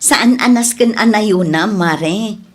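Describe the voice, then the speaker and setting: A woman's voice: medium pitch, slow, close to an everyday voice. A woman playing a practical middle-aged wife and neighbour in an Ilocano radio drama, inquisitive and matter-of-fact. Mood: cautious, practical.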